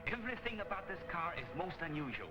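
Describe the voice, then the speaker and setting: Formal loyal valet, swooping voice. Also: male voice, high voice, sing-song, fast, over music. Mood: earnest, devoted. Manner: formal, precise, stilted phrasing. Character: loyal, deferential Korean male valet and inventor. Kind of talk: 1940 film serial acting. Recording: noisy old film soundtrack.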